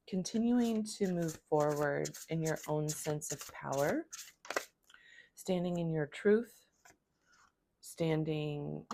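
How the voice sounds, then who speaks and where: A woman with a hoarse voice from a cold, giving a calm, flowing spiritual tarot reading. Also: deep voice. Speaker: tarot reader.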